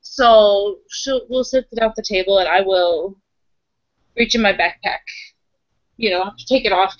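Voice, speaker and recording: A woman with a medium-pitched voice improvises as a tabletop roleplayer. She talks informally and corrects herself as she goes. The audio is dry and a little muffled. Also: a bit bright, dry recording. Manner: informal, loose, self-correcting chatter. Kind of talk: casual improvised roleplay and narration.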